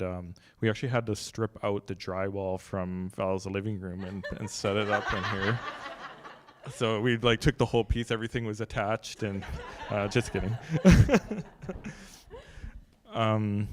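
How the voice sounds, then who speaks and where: Young man, low smooth voice, halting gallery welcome on a handheld mic, lots of "um" and restarts. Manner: halting, many fillers and restarts, light joking. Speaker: gallery artistic director. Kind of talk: casual welcoming remarks. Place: gallery room, seated at a long table. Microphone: handheld microphone.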